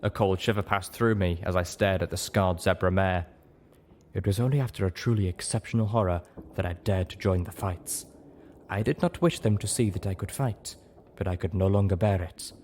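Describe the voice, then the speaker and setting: A man's voice: sits low, steady tone, moderate pace, darkish tone, slight background noise. A man reading scripted story narration as an audiobook narrator.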